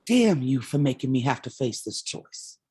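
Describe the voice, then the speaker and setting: A woman's voice: rumbling low, very animated, normal speed, darkish tone, husky, full character voice. A woman in a staged reading playing an impassioned, defiant leader of a community theater company, with a blunt, emphatic delivery. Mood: impassioned, insistent. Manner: blunt, emphatic speech-making.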